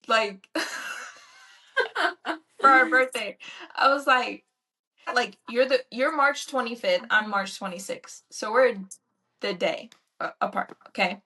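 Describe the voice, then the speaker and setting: A woman's voice: fairly high pitch, takes it slowly, pauses often, pushed and bright. A woman in her twenties co-hosting a casual podcast, chatty and supportive, filling her speech with "like" and "you know". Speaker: podcast co-host. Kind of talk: casual chat.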